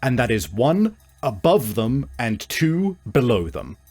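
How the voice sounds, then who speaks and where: A man improvising narration as game master of a tabletop game, in a halting, conversational way. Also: mid-range voice, sing-song, a little mellow. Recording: music behind the voice. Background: music.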